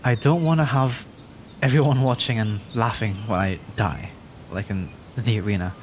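A man's voice: on the low side, moderate pace, a little hiss or hum, lightly acted. A man playing a nervous, adorkable young dragon, injured and frightened, stammering and apologetic in an ASMR fantasy roleplay. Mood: frightened, pleading. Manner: stammering, hesitant, rambling, apologetic. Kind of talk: ASMR roleplay audio drama.

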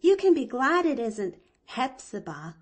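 A cheerful little orphan girl from a storybook, acted by a woman, chattering with eager emphasis. Her voice sits high and swings animatedly up and down, earnest and keen in every phrase. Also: sing-song, unhurried, full character voice. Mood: chatty, amused. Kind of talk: expressive audiobook character reading.

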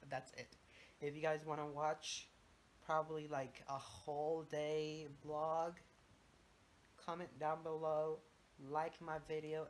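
A man's voice: a little high, slight background noise. Young Mexican man rambling into his phone. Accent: Mexican Spanish. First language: Spanish. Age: young adult. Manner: rambling, swears casually, mixes in Spanish phrases. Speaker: vlogger. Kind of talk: casual rambling vlog. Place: home bathroom and bedroom. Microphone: phone held at arm's length.

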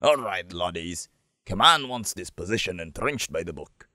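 A man voicing a gruff, brisk sci-fi army sergeant, barking out instructions in game character style. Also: low voice, lively intonation, bright, forceful tone, no echo, exaggerated voice. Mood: brisk, commanding. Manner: barked, instructional. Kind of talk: military game acting.

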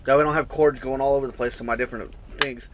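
A man's voice: mid-range voice, fairly even intonation, rapid-fire. A trucker in his thirties talks his way through an unboxing in his truck cab, his voice in a middle register. He rambles and thinks aloud with plenty of fillers. The sound comes through a small action-camera mic with a faint hum of engine noise behind him. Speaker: trucker reviewing gear. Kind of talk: casual unboxing review. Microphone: action camera on the cab wall, built-in mic.

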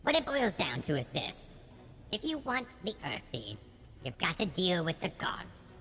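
A man voicing a gruff old male dwarf mining chief with a folksy brogue, saying aye and lad. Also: sits high, huge pitch swings, unhurried, pushed and bright, big-room sound, faint music behind, voice pushed up, exaggerated voice. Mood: grumbling.

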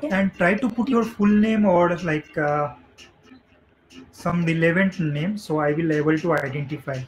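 A man's voice: on the high side, measured pace. Man hosting over noisy meeting audio. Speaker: meetup host. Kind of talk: casual spoken instructions to online attendees. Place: online video meeting.

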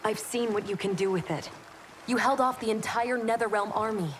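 An actress playing a young, practical, worried soldier in a video game. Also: female voice, mid-range voice, normal speed, a little hiss or hum, lightly acted. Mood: concerned. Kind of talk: video game cutscene acting.

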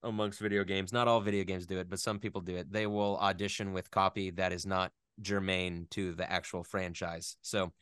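A man's voice: low-pitched, flat delivery, normal speed, few pauses, fairly bright tone, clear, resonant tone, no room sound, studio quality. A man, a voice actor, chatting casually as an interview guest, telling stories in a joking, self-deprecating way.

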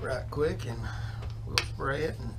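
A man's voice: mid-range voice, a little slow, creaky. A man, talking easygoing and folksy while demonstrating lure painting in an indoor workshop. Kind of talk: casual how-to demonstration. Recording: distant mic, noisy workshop.

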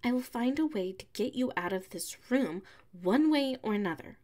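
A woman voicing a prickly, haughty young tsundere classmate with a hidden soft side, in an ASMR audio roleplay. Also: a little high, animated, lightly acted. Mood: indignant, huffy. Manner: haughty, huffy, sighing, drawn-out phrasing.

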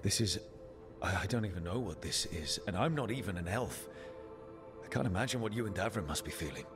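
Supportive male adventurer for a fantasy game: low, reasonable, thoughtful, faint music underneath. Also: animated, fairly quick. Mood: bewildered. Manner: reassuring, thoughtful. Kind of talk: naturalistic video game cutscene acting.

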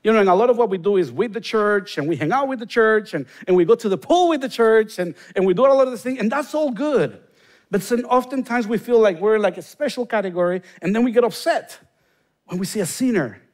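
Honduran-accented pastor preaching from stage. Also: male voice, sits high, animated, fairly quick, darkish tone. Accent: Honduran Spanish. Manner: earnest, rising to emphatic bursts on key points. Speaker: guest pastor. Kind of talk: sermon with prayer. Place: large church stage, indoors.

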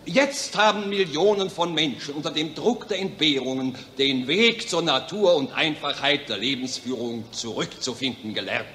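Officious, forceful medical professor. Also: male voice, on the high side, normal speed, hardly any pauses. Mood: self-assured, lecturing. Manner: formal, lecturing. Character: self-assured, officious male medical professor. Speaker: actor. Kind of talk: 1940s radio acting. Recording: noisy 1940s radio recording, hall reverb.

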